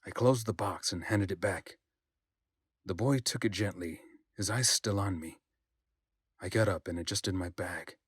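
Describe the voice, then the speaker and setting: A man's voice: low voice, measured pace, long pauses, husky, dry recording, clean studio sound. A man reading scripted audiobook narration as a voice-over narrator.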